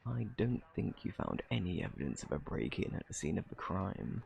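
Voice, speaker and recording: Man acting a gravelly, flirty British thief. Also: deep voice, sing-song, darkish tone, a little hiss or hum, heavily acted. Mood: smug, challenging. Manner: playful, taunting, drawn-out theatrical delivery. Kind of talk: ASMR roleplay, intimate scripted monologue.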